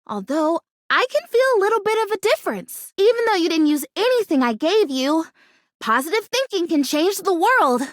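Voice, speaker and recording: A woman's voice: very high-pitched, a bit bright, no echo, clean studio sound. A woman voicing an earnest, hopeful plastic plant girl who longs to be real, a video game character with a dramatic, childlike way of talking. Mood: warm, hopeful. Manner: earnest, dramatic, childlike phrasing and mild insults.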